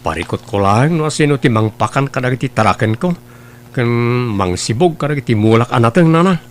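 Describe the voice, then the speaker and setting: A man's voice: mid-range voice, expressive, brisk, slight background noise, natural voice. A man playing an earnest, dutiful grown son in an Ilocano family drama, plain-spoken with an Ilocano accent. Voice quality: creaky. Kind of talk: Ilocano radio-style family drama acting.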